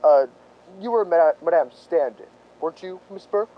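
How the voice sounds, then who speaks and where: Radio-drama detective lieutenant, male actor: higher-pitched, animated, halting and mock-humble while slyly needling, on a dull recording. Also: close to an everyday voice. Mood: needling, mock-innocent. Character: folksy, sly police lieutenant toying with suspects. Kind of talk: 1940s radio drama acting.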